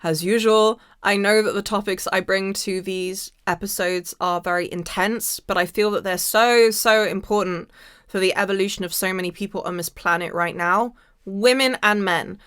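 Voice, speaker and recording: A woman podcast host with a slightly hoarse voice, speaking emphatically in a motivational spiritual monologue and repeating key phrases. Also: mid-range voice, steady tone, moderate pace, a bit bright, no echo, clean studio sound.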